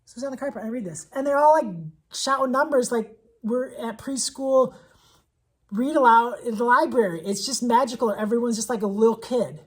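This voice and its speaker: A woman with a husky voice talking as an elementary school teacher in a casual vlog, enthusiastic and conversational, leaning on repetition for emphasis. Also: on the high side, a little fast. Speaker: elementary school teacher presenting picture books. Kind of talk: casual vlog book recommendations.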